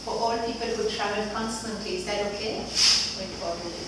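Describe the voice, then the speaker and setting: A woman's voice: medium pitch, steady tone, normal speed. Woman, 40s, French accent, chatty workshop talk, far from the mic, echoey room. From Switzerland. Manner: chatty and loose, many "like" fillers. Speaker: workshop facilitator. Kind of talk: casual group talk. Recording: echoey room, distant mic, background noise.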